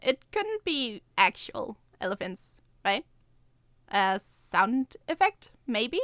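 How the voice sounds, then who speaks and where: A woman's voice: fairly high pitch, very animated, slow, fairly bright tone, no echo. A woman co-hosting a podcast, with a playful manner, in casual chat and story reading. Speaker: podcast co-host and co-writer.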